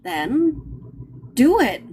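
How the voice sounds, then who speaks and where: Woman, audiobook narrator, fairly high voice, unhurried pace, expressive fiction reading. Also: slight background noise. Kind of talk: expressive live reading of a novel's first page.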